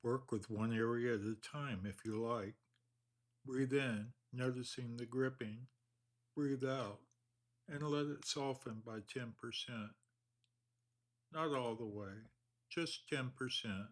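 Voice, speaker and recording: Calm man guiding a meditation. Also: medium pitch. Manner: slow, calm, measured delivery with long pauses. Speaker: meditation guide. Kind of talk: guided meditation.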